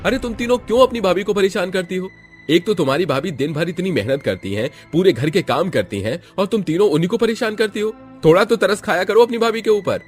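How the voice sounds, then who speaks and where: A man's voice: sits high, expressive, fairly quick, faint music behind, voice pushed up. A man voicing a stern older brother who indignantly scolds in a cartoon moral story. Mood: angry.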